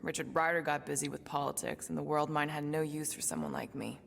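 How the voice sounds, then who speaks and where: A weary, wistful female assassin from space in a cinematic video game. Her voice sits low with a gravelly fry, guarded and reflective, pausing as she goes. Faint background noise sits behind her. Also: little pitch movement.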